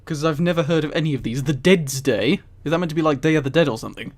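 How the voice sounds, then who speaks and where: A man's voice: a little high, quick pace. A man casually reading aloud on a livestream and commenting, easily amused and giggly. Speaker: streamer.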